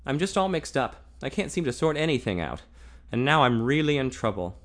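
A confused young man in an audiobook speaks haltingly, with a bitter and resigned edge. His pitch moves a lot across each line, as the male narrator reads him close to his own voice. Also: very animated, fairly bright tone. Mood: troubled.

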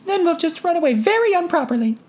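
A reader voicing a bubbly, teasing young woman just engaged, high and airy with giggles in her talk, for an audiobook. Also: fast. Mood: excited. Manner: teasing, breathless excitement. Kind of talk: audiobook character voice.

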